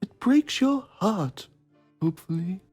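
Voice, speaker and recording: A woman's voice: medium pitch, lively intonation, measured pace, a little mellow, breathy edge, soft background music. A woman playing a pretentious, self-admiring male journalist, narrating a mock documentary in a theatrical manner. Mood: pompous musing. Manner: pompous, theatrical.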